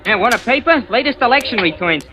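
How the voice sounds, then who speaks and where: A woman's voice: fairly high pitch, expressive, fast talker, bright, forceful tone. A woman playing a brash, sassy young street newspaper seller in an old-time radio drama. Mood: hawking. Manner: street hawker's cries, sassy.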